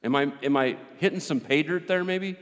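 A man preaching a sermon with a big voice, joking and animated, swinging between loud and quiet. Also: on the high side, normal speed. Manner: animated, joking, with rising and falling volume. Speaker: pastor. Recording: long hall reverb.

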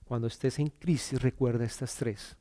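A middle-aged man from Colombia with a Bogotá accent, speaking in church and telling his personal testimony in an earnest, halting way, often repeating words and restarting. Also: medium pitch, steady tone, warm tone.

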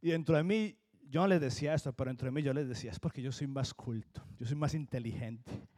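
A man from Colombia with a Colombian accent, preaching a sermon in an earnest, emphatic way and slowing down to stress key words. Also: medium pitch, darkish tone. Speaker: pastor.

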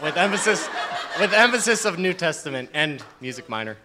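A man's voice: a little high, very animated, brisk, background noise. A male student from Burkina Faso introducing himself in a playful, laughing way.

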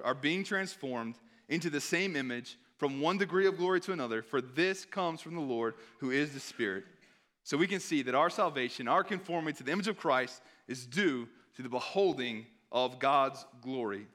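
A hoarse man preaching a sermon in an earnest tone, pausing to read scripture aloud. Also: medium pitch, lively intonation, a bit bright. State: hoarse, clearing throat.

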